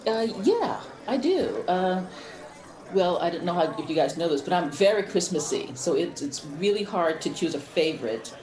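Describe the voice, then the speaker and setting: A woman chatting casually in a group as a podcast panelist, in a halting, conversational way. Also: lowish voice, very animated, crowd noise. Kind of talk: casual group chat about holiday movies. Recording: echoey room, background voices.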